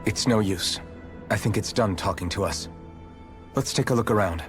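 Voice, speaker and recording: A man's voice: fairly low pitch, normal speed, faint music behind, lightly acted. A man playing an earnest, resolute young hero in a video game cutscene, speaking seriously. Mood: determined.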